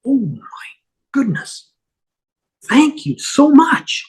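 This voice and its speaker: A man playing a mild, grateful father in a comedy table read, gentle and halting. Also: very high voice, big pitch swings, exaggerated voice. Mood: grateful, sincere.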